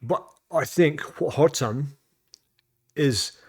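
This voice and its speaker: A man's voice: a little high, takes it slowly, husky. A Scottish man rambling through casual reaction commentary, with lots of fillers, restarts and swearing. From Scotland. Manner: rambling and repetitive, with frequent fillers and profanity. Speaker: reaction vlogger.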